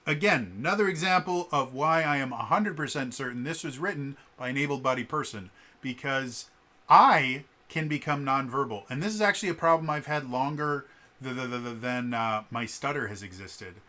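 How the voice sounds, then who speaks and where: A man with a bright, forward voice talks casually to camera, and his stutter breaks in on words now and then. His delivery is halting, full of ums and uhs, with an earnest, indignant edge. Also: medium pitch. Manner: halting, many fillers and repeated sounds. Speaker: vlogger. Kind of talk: casual spoken commentary to camera.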